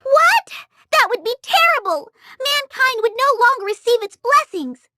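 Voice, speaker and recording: A woman's voice: very high-pitched, big pitch swings, unhurried, sharp tone, a little hiss or hum, heavily acted. A woman voicing a tiny, bossy, impatient fairy guide in a video game, chirpy and emphatic. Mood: indignant, flustered. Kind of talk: English video game voice acting.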